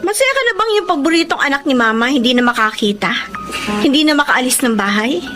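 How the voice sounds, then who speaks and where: A woman's voice: high voice, animated, fast talker. An actress playing an anguished, bitter young woman who has lost her sight, in an overwrought Filipino radio drama style with a Filipino accent. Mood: bitter accusing. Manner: overwrought, wailing and accusing. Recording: music bed under the voice.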